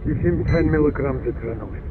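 Older male military scientist, played by an actor, grave, clinical, game cutscene, loud music. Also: mid-range voice, brisk, phone-quality sound, natural voice. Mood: grave, firm. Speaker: military doctor. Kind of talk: video game cutscene acting.

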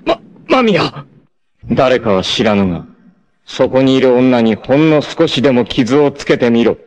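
An actor playing a proud, fierce, devoted martial-arts warrior facing death, with solemn, dramatic delivery in 1980s anime style. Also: male voice, mid-range voice, very animated, darkish tone. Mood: fierce, protective. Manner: solemn, dramatic, deliberate delivery with intense outbursts.

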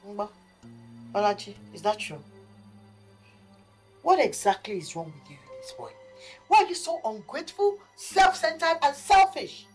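An actress with a Nigerian accent playing a hot-tempered mother who scolds loudly in rapid outbursts. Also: a little high, very animated, faint music behind. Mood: scolding.

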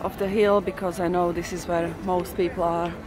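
A woman's voice: fairly low pitch, level tone, moderate pace. A woman giving casual, off-the-cuff commentary as she vlogs outdoors on busy city streets at night. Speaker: walking tour vlogger. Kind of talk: casual off-the-cuff vlog narration. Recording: loud street crowd and traffic noise. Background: crowd and street traffic.

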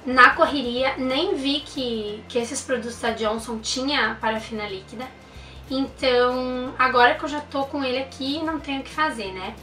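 A woman's voice: sits high, normal speed, pushed and bright, reverberant, distant mic, soft background music. A young woman, reviewing baby products in a chatty, enthusiastic way and using lots of diminutives. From Brazil. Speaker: mom vlogger. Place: indoor room.

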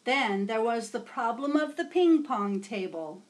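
Slow, lilting woman reading a storybook. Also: fairly high pitch, lively intonation. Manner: measured, storytelling. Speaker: storybook reader. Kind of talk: expressive read-aloud for children. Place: small home room with bookshelves.

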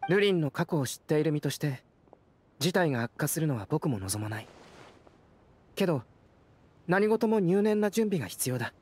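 An actor playing a calm young male alchemist who explains things in a gentle, measured way. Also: on the high side, a little hiss or hum. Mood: analytical. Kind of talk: video game voice acting. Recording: game cutscene audio with soundtrack under it.

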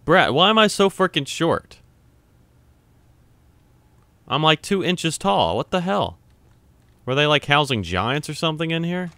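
Excitable young man gaming, bright lively voice. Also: on the high side, measured pace, vocal fry, pauses often, no room sound. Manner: loud startled yelps and swearing; reads on-screen text aloud. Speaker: gamer playing on camera. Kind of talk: casual let's-play commentary.

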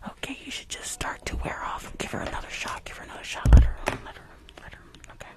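A woman's voice: mellow, lightly acted. Woman acting a whispering, bossy, shady dentist. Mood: urgent, bossy. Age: young adult. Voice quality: breathy whisper. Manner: hurried, bossy, repetitive. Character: rushed, shady female dentist stealing patients' teeth. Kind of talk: ASMR roleplay. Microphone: close microphone with earphones.

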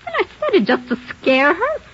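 A woman's voice: very high-pitched, wildly swooping pitch, fairly quick, fairly bright tone, exaggerated voice. An actress playing a defiant young woman suspected of murder, curt and snapping back, in a 1950s radio drama. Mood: desperate. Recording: dull old radio broadcast.

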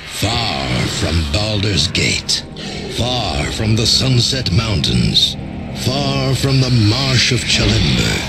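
A man's voice: fairly low pitch, gravelly, full character voice. A man playing a grave, ominous storyteller, narrating a fantasy video game with theatrical weight. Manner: slow, theatrical, portentous. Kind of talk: dramatic scripted game narration. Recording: loud music behind.

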